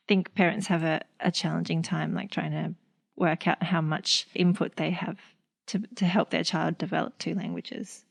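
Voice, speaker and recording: A young Australian woman talks in a relaxed, rambling way on a podcast. Her voice sits low and carries a gravelly fry. She leans on fillers as she thinks aloud. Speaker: speech pathologist. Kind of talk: casual podcast chat.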